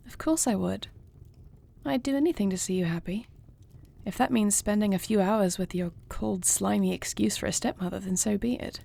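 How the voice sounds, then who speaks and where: A woman playing a gentle, poised dragon queen in an intimate audio roleplay, speaking to the listener with formal, courtly phrasing and soft affection. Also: medium pitch, normal speed, vocal fry. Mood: tender, reassuring. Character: gentle, poised dragon queen, newlywed, tender and dryly witty.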